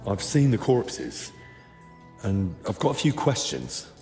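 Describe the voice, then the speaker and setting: An actor playing a blunt, probing young male investigator in a video game cutscene, speaking in a measured, matter-of-fact way. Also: fairly low pitch, sing-song, dark tone, soft background music, exaggerated voice. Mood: probing, wry.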